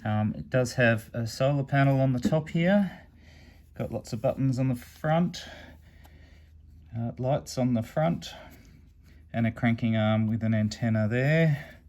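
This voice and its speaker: A man's voice: medium pitch, measured pace, long pauses, a little mellow. A man casually demonstrating a gadget hands-on, explaining it step by step in a matter-of-fact way. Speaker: amateur radio reviewer and channel host.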